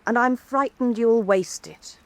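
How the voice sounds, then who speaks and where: A frank, earnest young daughter who paints too, pleading and direct. Her voice sits fairly high. The sound is dry and close. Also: unhurried, dry recording. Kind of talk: stage radio drama.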